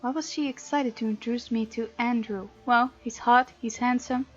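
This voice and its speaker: Female let's player, fairly high voice, playful narrator-reactor; mildly muffled recording, light game music underneath. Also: fairly even intonation, moderate pace. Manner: playful, reacts out loud to characters. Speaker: gaming video host. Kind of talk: let's play, reading game text aloud with casual reactions. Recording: slightly dull sound, music behind.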